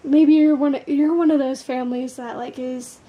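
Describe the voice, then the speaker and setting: A woman doing a casual, blunt tarot card reading, tired, with a gravelly, sleepy voice, swearing casually and using lots of fillers. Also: high voice, steady tone, normal speed, lo-fi sound.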